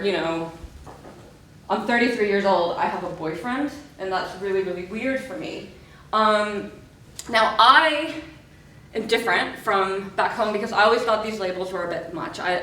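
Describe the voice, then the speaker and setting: A woman's voice: mid-range voice, fairly bright tone, slight background noise. Young Mexican woman, American-sounding accent, chatty self-deprecating speaker, distant mic in an echoey hall. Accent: American-sounding English. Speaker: conference speaker, PhD researcher. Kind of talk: conference talk with jokes. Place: conference hall.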